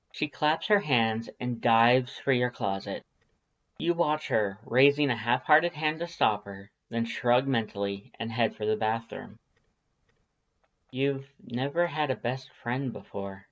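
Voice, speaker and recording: A woman reading scripted story narration as a fan-fiction audiobook narrator. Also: extremely low pitch.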